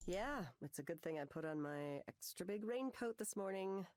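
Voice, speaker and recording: A woman's voice: mid-range voice, expressive, moderate pace, a little mellow, lightly acted. A woman playing an anxious, self-doubting young artist in a visual novel, hesitant and repeating her words. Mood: casual. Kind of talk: naturalistic visual novel voice acting. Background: music.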